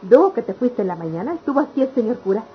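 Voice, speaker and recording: High-voiced, devoted Mexican mother. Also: sing-song, rapid-fire, soft background music. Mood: tender, hopeful. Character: grateful, devoted village mother and schoolteacher. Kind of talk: old Mexican radio soap acting. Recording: muffled, noisy old radio recording.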